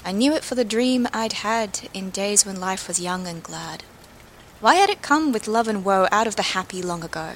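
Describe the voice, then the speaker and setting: A woman's voice: mid-range voice, animated, moderate pace. Woman reciting poetry over background noise. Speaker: guest poetry reader.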